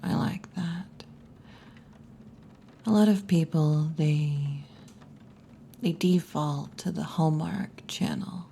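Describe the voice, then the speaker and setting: Hushed, low-voiced wolf girl bartender, acted by a woman. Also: fairly even intonation, a little hiss or hum, full character voice. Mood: relaxed, warm. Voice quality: breathy, mostly whispered or half-whispered. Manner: slow, hushed, teasing, with long pauses. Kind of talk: ASMR roleplay, intimate scripted monologue. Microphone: close ASMR microphone.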